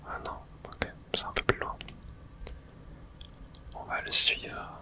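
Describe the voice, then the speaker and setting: Someone whispering in a hushed, unvoiced way through an ASMR video game playthrough, reading dialogue aloud. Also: slow.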